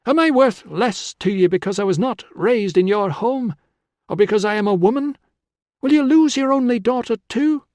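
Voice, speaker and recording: Guy doing a fierce young woman from a historical audiobook, high voice, urgent and challenging. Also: animated, normal speed, no room sound. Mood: pleading. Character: defiant, pleading young Celtic woman confronting her father.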